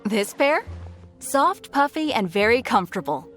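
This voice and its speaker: Chatty shop lady pushing her stuff, lively and kinda high, faint music. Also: unhurried. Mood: enthusiastic. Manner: upbeat sales pitch. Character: chatty female shopkeeper, salesy and friendly. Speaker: voice actor. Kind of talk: video game dialogue. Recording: game audio with faint music.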